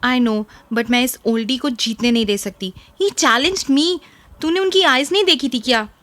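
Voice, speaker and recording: A woman's voice: high-pitched, a little slow, fairly bright tone. A woman playing a stubborn, defiant young college girl in an audio drama, mixing Hindi and English in a dramatic manner. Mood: determined. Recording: music behind.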